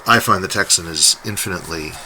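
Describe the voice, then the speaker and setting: A man hosting an unscripted hobby review, talking in a halting way as he thinks aloud while demonstrating. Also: low-pitched. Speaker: host, radio hobbyist reviewer. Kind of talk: unscripted product demonstration commentary. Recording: faint radio noise behind voice.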